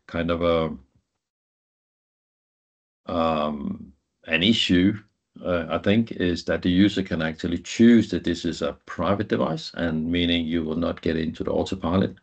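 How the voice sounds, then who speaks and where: Low-voiced man, halting technical presenter. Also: creaky, muffled recording. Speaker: guest presenter, IT professional. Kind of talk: informal technical presentation over slides.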